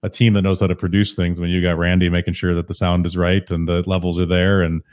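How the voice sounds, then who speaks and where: A man with a very low, deep voice talks in an unhurried, calm way. He sounds relaxed and easygoing, poking fun at himself in a casual podcast conversation. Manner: relaxed, self-deprecating, chuckling often. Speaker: podcast host and guest.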